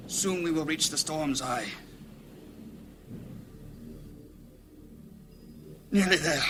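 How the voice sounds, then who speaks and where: An actor playing a grave, commanding archmage in a high fantasy audio drama, speaking formally and solemnly. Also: male voice. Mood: tense, intent. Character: grave male archmage leading a council of wizards. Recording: loud orchestral music behind.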